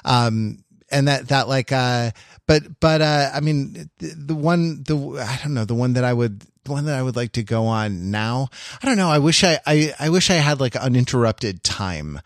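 Guy on a podcast, mid pitch, casual rambly chat, keeps rephrasing, dry close sound. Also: expressive, dry recording. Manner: rambling, halting, circles back to rephrase. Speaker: podcast co-host.

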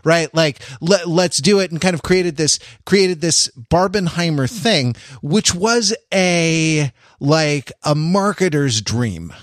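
An American man co-hosting a podcast in casual analytical discussion, wordy and intellectual, often restarting his sentences haltingly. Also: a little high, expressive, no echo, clean studio sound. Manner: hesitant, halting delivery with repeated false starts. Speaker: podcast co-host.

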